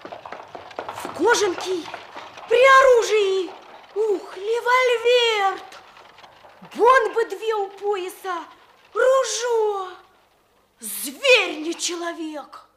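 An actress playing an excitable, naive young courier girl in a Russian radio play, exclaiming breathlessly and full of awe. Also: very high voice, extremely slow, long pauses, heavy background noise, exaggerated voice. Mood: awestruck.

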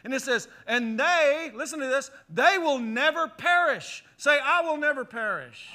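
A middle-aged male pastor preaching a sermon in a church, leading the congregation in call-and-response with animated, forceful delivery. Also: very high-pitched, a little slow. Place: church sanctuary. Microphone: handheld or headset mic.

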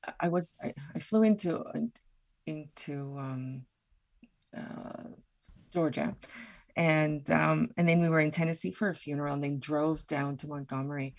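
A woman, a guest on a podcast, talking reflectively and haltingly in a casual conversation. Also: low-pitched, a little mellow. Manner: halting, reflective, many fillers and restarts.

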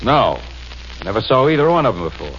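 A man's voice: mid-range voice, big pitch swings, fairly quick, a bit bright, slight background noise. An actor playing a breezy, slangy male newspaper crime photographer in a 1940s radio drama. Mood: tense. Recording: dull old radio recording with music.

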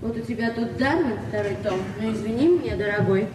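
An actress in her forties playing a naive, candid, gently insistent librarian in a Soviet radio drama, plain-spoken and sometimes hesitant. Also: a little high, fairly quick, natural voice. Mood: gently chiding. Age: 40s. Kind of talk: Soviet stage-style radio drama acting. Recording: echoey, distant, noisy 1970s radio recording.

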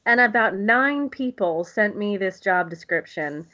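A woman's voice: medium pitch, lively intonation, unhurried, bright, forceful tone, highs cut off. A woman from the United States, talking casually and enthusiastically as an interview guest, rambling with frequent ums. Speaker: guest, literature lecturer.

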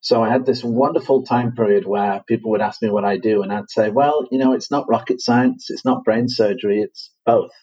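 A man with a Manchester accent, a guest on a podcast interview, talking in a measured way with frequent fillers and restarts. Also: on the low side, mellow, slightly rough, dull audio. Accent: Manchester English. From England. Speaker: guest, company CEO.

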